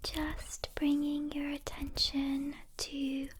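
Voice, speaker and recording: A woman whispering a guided meditation in a soft, breathy, soothing ASMR style, leaving long pauses between short phrases. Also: sits high, completely flat delivery, noisy background. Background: soft hand sounds and clicks.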